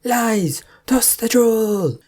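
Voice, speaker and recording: A woman voicing a pompous, bossy troll leader in a fantasy story, with loud, commanding, drawn-out questioning. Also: on the high side, lively intonation, voice pushed up, heavily acted. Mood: outraged.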